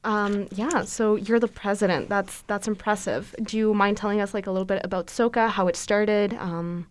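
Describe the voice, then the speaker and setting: A woman's voice: medium pitch, fairly even intonation, moderate pace. A young woman co-hosting a podcast, chatty and upbeat with frequent fillers. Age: young adult. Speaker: podcast co-host. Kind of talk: casual podcast interview.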